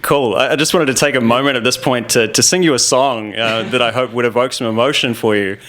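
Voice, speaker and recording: A man's voice: mid-range voice, steady tone, a little fast, fairly bright tone. A man interviewing a guest at an office, upbeat and joking, holding a handheld mic. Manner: upbeat and jokey. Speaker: developer evangelist, interviewer. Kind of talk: casual interview. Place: office lounge with wood-panelled wall.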